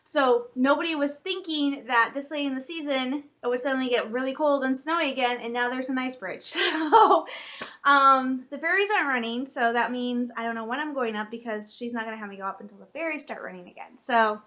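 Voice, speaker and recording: A woman's voice: high-pitched, a little fast, a bit bright. A woman of thirty chatting casually to camera at home, rambling along with lots of fillers and little laughs. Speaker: vlogger.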